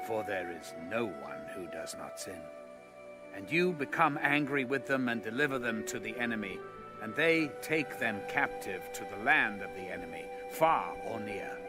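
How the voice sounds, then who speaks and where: A man's voice: on the low side, lively intonation, close to an everyday voice. Solemn praying king, acted by a man, over music. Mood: solemn pleading. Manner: solemn, measured, reverent. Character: devout ancient king praying before his people. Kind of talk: dramatized audio Bible reading.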